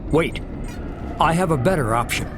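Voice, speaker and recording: A man's voice: on the high side, animated, normal speed, noisy background, natural voice. A man playing a shrewd, powerful middle-aged mastermind in a video game, persuasive and authoritative. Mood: urgent, persuasive.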